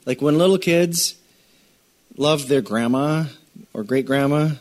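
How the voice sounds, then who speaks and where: Chatty pastor, a man with a higher voice. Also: measured pace. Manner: conversational, many fillers and restarts, animated rises. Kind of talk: sermon teaching.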